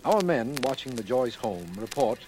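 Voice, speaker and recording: Official prosecutor-narrator played by a male actor, 1940s radio drama. Medium pitch, formal recap delivery, noisy vintage recording. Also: very animated, moderate pace, natural voice. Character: brisk official district attorney narrating a case.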